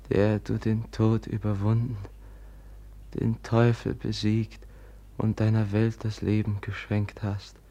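Gravelly, slow, grief-stricken gentle prince. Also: male voice, lowish voice, highs cut off, full character voice. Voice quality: husky, gravelly, breathy. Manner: halting, solemn, hushed. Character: gentle, sickly Russian prince, shaken and grieving beside a corpse. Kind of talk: German literary radio drama acting.

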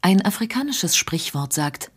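A woman with a very low, husky voice reads feature narration. Her delivery is even and informative. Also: unhurried. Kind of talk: scripted narration.

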